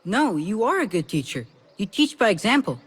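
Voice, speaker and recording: A woman's voice: mid-range voice, very animated, normal speed, slightly rough, rough recording. A woman voicing a bold, earnest young child who idolises heroes, in a video game cutscene. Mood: eager.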